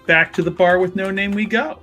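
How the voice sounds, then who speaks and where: Higher-voiced man, wry tabletop player. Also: fairly even intonation, fairly quick, sharp tone, soft background music. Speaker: player in a tabletop roleplaying game. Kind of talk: casual in-character narration and banter.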